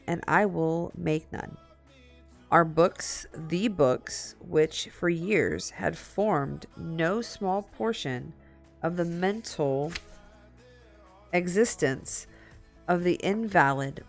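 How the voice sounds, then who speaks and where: A woman streamer reading a story aloud in a dramatic, halting way, slipping into casual asides. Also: on the low side, soft background music. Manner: halting, repeats and corrects stumbled words.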